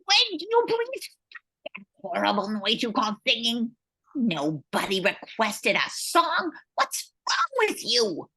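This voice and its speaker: A woman with a husky voice playing a storybook narrator in an amateur radio play. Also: on the high side, huge pitch swings, takes it slowly, pushed and bright, heavily acted. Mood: exasperated. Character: storybook narrator, easily exasperated.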